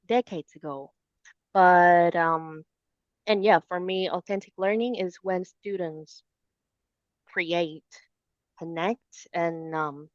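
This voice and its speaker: A woman in a casual discussion speaks slowly and thoughtfully, pausing on frequent ums. Her voice sits at a middle pitch. The sound is dry and slightly muffled, as from a modest remote mic. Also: no echo.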